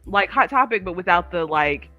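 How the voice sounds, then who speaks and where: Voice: medium pitch, lively intonation, normal speed, pushed and bright, dry recording, lo-fi sound, faint music behind. A livestream co-host chatting casually and informally, telling rambling personal stories peppered with filler words. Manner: informal, filler-heavy with frequent "like".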